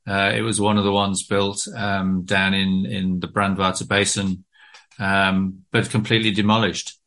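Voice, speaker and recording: A man's voice: low voice, little pitch movement, a little slow, bright, forceful tone. A middle-aged British man talking as a guest author in an interview, with many ums and restarts. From Britain. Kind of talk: casual interview talk.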